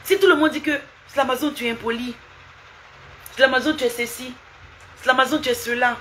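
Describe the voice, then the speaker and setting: A woman's voice: sits high, fairly even intonation, brisk, pushed and bright, a little hiss or hum. A woman live streaming, lecturing and scolding someone with repeated rhetorical questions and asking viewers for hearts.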